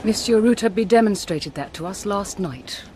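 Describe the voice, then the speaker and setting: A woman's voice: medium pitch, lively intonation, fairly quick, darkish tone, a little hiss or hum, natural voice. A woman acting a resolute, formal married lady in an audio drama. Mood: composed. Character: resolute, composed married lady facing danger.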